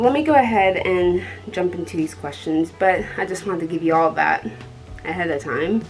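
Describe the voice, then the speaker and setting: Young woman vlogging, darkish lowish voice, rambly with lots of ums, faint music behind. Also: poor audio. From Colorado. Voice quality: dark tone. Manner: rambling, with many ums and soft laughs. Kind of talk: casual chat to camera.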